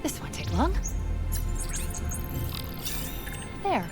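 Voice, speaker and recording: An actress voicing a sharp-witted, dry female ship AI in a video game cutscene, brisk and wry. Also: high voice, very animated, over music. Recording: voice over loud game score.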